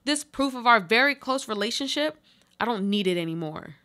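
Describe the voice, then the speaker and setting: A woman's voice: fairly high pitch, animated, a little fast, pushed and bright. A woman playing a desperate trapped woman, pleading and reproachful in a dramatic radio play monologue.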